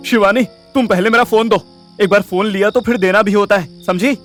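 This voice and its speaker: A petty young sidekick in a comic audio drama. His voice is high for a man, whiny and complaining. Faint music sits underneath. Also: steady tone, moderate pace, fairly bright tone. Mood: whiny, demanding. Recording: music bed behind voice.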